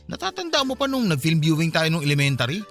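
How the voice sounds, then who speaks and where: Lively, fast-talking teasing young man. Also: on the high side, faint music behind, full character voice. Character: teasing young man, close childhood friend. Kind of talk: radio drama dialogue, casual.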